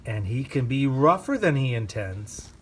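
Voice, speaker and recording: A man reading a children's picture book aloud with gentle, expressive storytelling. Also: mid-range voice, a little slow, breathy edge. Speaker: reader.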